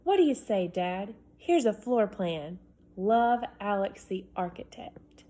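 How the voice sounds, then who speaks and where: A woman's voice: a little high, sing-song, takes it slowly, a little mellow, voice pushed up, heavily acted. A woman voicing a pushy young boy who writes letters begging his dad for his own room, whiny and overdramatic. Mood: pleading.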